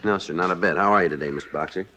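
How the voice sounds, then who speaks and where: An actor playing a matter-of-fact police detective in a 1950s radio drama, clipped, deadpan and polite. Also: male voice, low-pitched, rapid-fire, a little hiss or hum. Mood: dry, patient. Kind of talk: 1950s radio drama acting with scripted narration.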